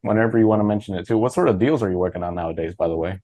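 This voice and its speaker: A man's voice: on the low side, racing, warm tone, dry recording. A man hosting a podcast interview, casual and informal, encouraging his guest. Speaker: podcast host, author.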